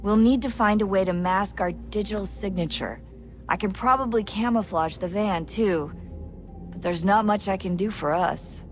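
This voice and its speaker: Brisk female tech support played by an actress, mid-pitched, game music underneath. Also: lightly acted. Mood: practical. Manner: brisk, practical. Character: upbeat young female technician running a mission. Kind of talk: video game dialogue.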